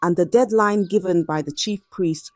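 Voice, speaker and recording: A woman narrating a scripted folktale in a dramatic, measured storytelling manner. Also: on the low side, a little mellow, no echo.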